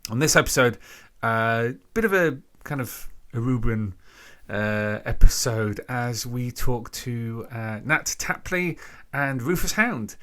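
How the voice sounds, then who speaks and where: A man hosting a podcast, giving an informal introduction in a halting way, thinking aloud between phrases. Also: medium pitch, expressive, fairly bright tone. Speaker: podcast host.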